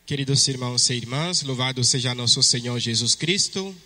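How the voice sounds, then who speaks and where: A man from Togo with a French-speaking West African accent, a priest reading the Gospel and preaching at Mass in a measured way. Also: medium pitch, bright, forceful tone. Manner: slow, measured liturgical delivery. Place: church. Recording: long church reverb.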